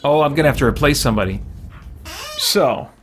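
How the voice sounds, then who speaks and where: A man's voice: medium pitch, big pitch swings, a little fast. A man giving casual commentary as he hosts a video game playthrough. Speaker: let's play host. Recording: music playing behind the voice. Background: video game music.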